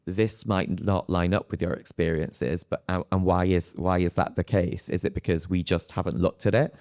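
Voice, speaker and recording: A man's voice: low-pitched, fairly even intonation, moderate pace, darkish tone, no room sound, clean studio sound. A man speaking as an academic panelist in a live discussion, phrasing his thoughts in a hesitant, reflective way. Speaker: panelist, psychology lecturer.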